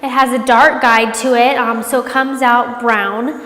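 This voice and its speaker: A woman's voice: fairly high pitch, level tone, measured pace, sharp tone. A woman reviewing a product in a casual vlog, sounding congested from a cold and chatting with lots of ums. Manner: chatty, many ums, upbeat. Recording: echoey room with long reverb.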